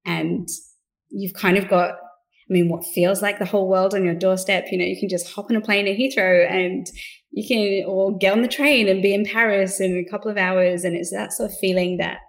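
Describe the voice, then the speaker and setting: A woman's voice: medium pitch, steady tone, moderate pace, creaky. A woman in her thirties from London with a mixed London and Australian accent, chatting as an interview guest with frequent fillers and restarts. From London, England. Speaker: podcast guest, business co-founder. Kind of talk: casual interview conversation.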